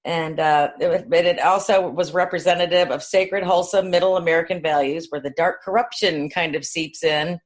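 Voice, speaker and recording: A woman's voice: lowish voice, fairly even intonation, a little fast, hardly any pauses. A woman co-hosting a podcast, talking about films in an informal, folksy, conversational way. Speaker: podcast co-host. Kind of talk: casual film discussion.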